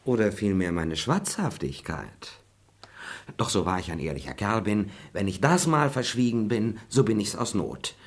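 A roguish manservant in a period radio comedy, his voice husky and swinging widely in pitch. He drawls and dodges, flirtatious and chatty in a theatrical way. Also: lively intonation, moderate pace. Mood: eager, exasperated. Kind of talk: classic German stage comedy as radio play.

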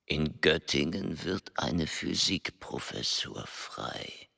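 A man acting an ageing, world-weary male scholar in a German radio play, musing slowly as if half to himself. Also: a bass voice, expressive, gravelly, bright, forceful tone, husky, heavily acted. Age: older. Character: ageing male scholar, world-weary, bitter about family, oddly light.

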